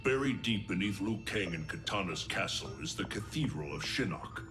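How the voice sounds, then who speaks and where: A grave, commanding thunder god. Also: male voice, low voice, animated, normal speed, music in the background. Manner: solemn, grave delivery. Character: stern male thunder god, grim and commanding. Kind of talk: video game cutscene acting. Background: orchestral game score.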